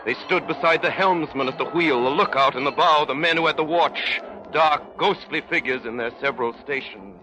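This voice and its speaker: A man playing a solemn literary storyteller, narrating a 1930s radio drama in a measured, portentous way. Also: fairly high pitch, heavy background noise, natural voice. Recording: muffled old radio broadcast. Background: loud music.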